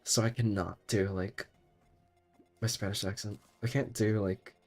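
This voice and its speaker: A man's voice: fairly low pitch, fairly even intonation, a little slow, a little mellow, soft background music. A young man streaming a game while sick, chatting in a rambling way and laughing often. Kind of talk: casual gaming stream chat.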